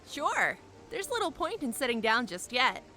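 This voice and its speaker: A woman's voice: sits high, sing-song, moderate pace, pushed and bright, soft background music, full character voice. A woman playing a confident, breezy young airship pilot with a casual, self-assured manner in a fantasy audio drama.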